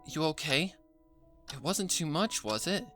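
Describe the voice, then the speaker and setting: A narrator voicing a young female unicorn pony who is caring, curious and modest, speaking in a gentle, earnest, slightly hesitant way for a story reading. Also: mid-range voice, lively intonation, a little slow, soft background music, voice pushed up. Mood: worried.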